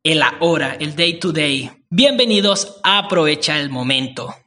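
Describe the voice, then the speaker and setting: A man hosting a casual podcast interview, speaking in a warm, rambling way with frequent hesitations. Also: a little high, normal speed. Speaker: podcast host.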